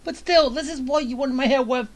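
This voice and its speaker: A woman's voice: sits high, a little fast, a little hiss or hum. A woman streaming a game, giving casual, jokey, reactive commentary as she plays.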